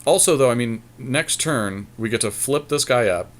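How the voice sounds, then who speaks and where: A man giving casual commentary on a card game as he plays, thinking aloud in an unhurried way. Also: medium pitch, expressive. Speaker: podcast host and player.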